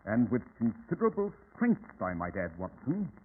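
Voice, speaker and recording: An actor playing a shrewd, composed British detective in an old-time radio drama, speaking with precise, formal authority. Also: male voice, medium pitch, huge pitch swings, normal speed. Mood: measured, analytical. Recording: tinny, band-limited old radio recording with hiss.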